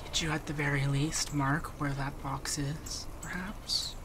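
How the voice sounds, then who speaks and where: A man playing a doting, teasing feminine male monster companion in a softspoken, often whispered ASMR roleplay. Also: on the high side, flat delivery, a little slow, noisy background, lightly acted. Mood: gentle, caring. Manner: breathy, often dropping to a whisper.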